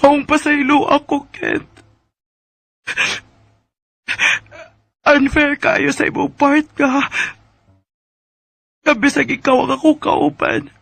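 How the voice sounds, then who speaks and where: An actress playing an emotional, reproachful young jilted bride with a Filipino accent in a radio drama, with music underneath. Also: female voice, high voice, a little slow, gravelly, dry recording. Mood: apologetic, sad.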